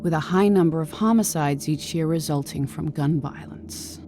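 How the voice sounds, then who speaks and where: A woman's voice: low-pitched, noisy background, lightly acted. A woman voicing a cool, clinical game archivist. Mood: detached. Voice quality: measured, clinical delivery. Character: calm female archivist briefing on monsters. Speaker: game bestiary narrator. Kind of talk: scripted documentary narration.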